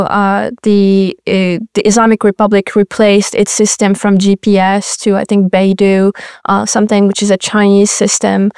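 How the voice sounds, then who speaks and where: A woman speaks in a very smooth, clean voice that stays level and even in pitch. She lays out her points carefully as an interview guest. The sound is dry and close. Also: dry recording. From Morocco. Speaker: guest, research fellow. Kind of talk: interview answers.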